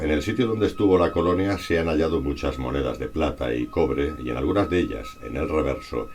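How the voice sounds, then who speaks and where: An extremely deep-voiced man narrates history. He reads from a script in formal, measured phrases, explaining as he goes. Music plays faintly behind him. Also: a little mellow.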